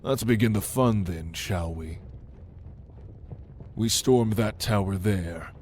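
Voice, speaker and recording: A man's voice: on the low side, a little mellow, slight background noise. A man playing a proud, commanding demon lord in an ASMR roleplay, speaking in a theatrical, menacing manner to the listener. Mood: commanding, challenging. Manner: slow, imperious, theatrical, menacing.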